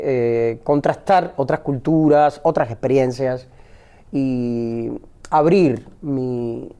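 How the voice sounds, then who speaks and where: A middle-aged Cuban man with a Cuban accent, answering interview questions in a measured, reflective way with frequent pauses and restarts. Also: medium pitch, warm tone, clean tone. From Cuba. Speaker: actor and poet guest. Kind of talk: interview conversation.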